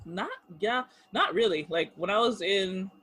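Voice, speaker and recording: A woman's voice: medium pitch, a little slow, a bit bright, husky, dry recording, studio quality. A woman in her thirties, raised in Japan, chatting casually as an interview guest over a video call, with lots of fillers and easy laughs.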